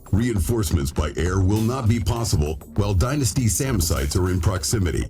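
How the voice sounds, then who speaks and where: An actor playing a stern military officer, giving formal, commanding mission briefings in a video game. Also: male voice, sits low.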